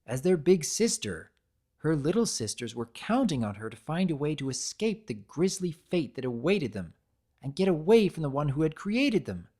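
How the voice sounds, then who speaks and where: A narrator giving an expressive, deliberate dramatic reading of a story. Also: mid-range voice.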